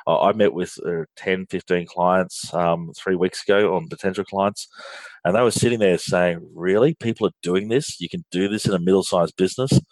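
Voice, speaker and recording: Low-voiced Australian man, dry sound. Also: fairly even intonation, moderate pace, no echo, rough recording. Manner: frequent restarts and ums, earnest explaining. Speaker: guest, finance outsourcing firm leader. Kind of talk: business interview.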